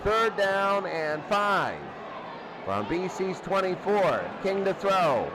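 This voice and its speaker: A man's voice: high-pitched, big pitch swings, takes it slowly. A man doing live play-by-play football commentary as a radio announcer, restating the action in short bursts. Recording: very noisy, loud stadium crowd bed. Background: crowd roar.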